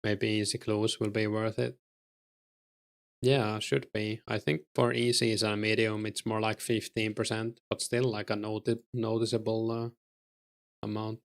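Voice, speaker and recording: A low-voiced man with a Finnish accent, speaking in a gravelly, monotone way. He chats casually with his stream's viewers while playing, answering them in a relaxed manner with plenty of fillers. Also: a little slow. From Finland. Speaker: game streamer.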